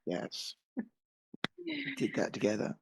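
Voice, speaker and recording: A man co-hosting a podcast, hoarse and husky from a cold, joking and self-deprecating in casual chat. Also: medium pitch, huge pitch swings, takes it slowly. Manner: jokey, self-deprecating banter. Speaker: podcast co-host.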